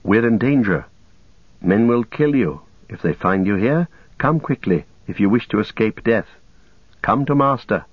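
Man reading as a soft, earnest hobbit master. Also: lowish voice, expressive, unhurried, breathy edge, dry recording, lo-fi sound. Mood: coaxing, urgent. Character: gentle but firm, anxious male hobbit master. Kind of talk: storybook character dialogue.

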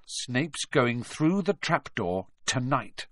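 A man's voice: mid-range voice, sing-song, slow, fairly bright tone, no echo, studio quality. A man voicing an earnest schoolboy in an audiobook, urgent and pleading to be believed. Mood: grim, urgent. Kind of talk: audiobook character voice.

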